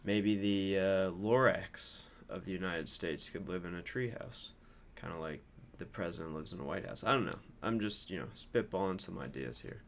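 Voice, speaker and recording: A man giving informal, off-the-cuff political commentary in a casual, musing way, like a streamer thinking out loud. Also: low-pitched, normal speed, vocal fry, hardly any pauses, a little hiss or hum.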